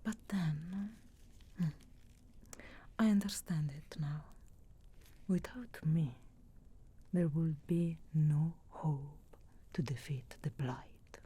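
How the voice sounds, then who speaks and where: A woman playing a sharp-tongued, sarcastic, reluctantly caring witch in a soft whispered ASMR fantasy roleplay, with hushed musing hums and deliberate pauses. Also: deep voice, slightly rough, exaggerated voice. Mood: grudging, aloof.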